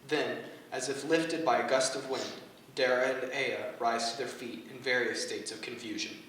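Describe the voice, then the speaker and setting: A man's voice: medium pitch, steady tone, normal speed, fairly bright tone. A man reading stage directions as scripted narration for a staged play reading. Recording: echoey room from far off, faint noise.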